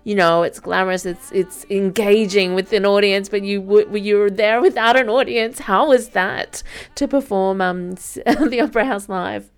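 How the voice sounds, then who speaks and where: A woman's voice: mid-range voice, fairly even intonation, moderate pace, smooth. A woman hosting a radio arts interview, asking curious questions in a conversational way.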